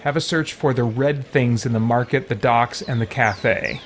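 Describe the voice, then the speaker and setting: A man's voice: mid-range voice, moderate pace, noisy background. A man giving chatty, wry commentary on a game stream, sometimes reading game text aloud.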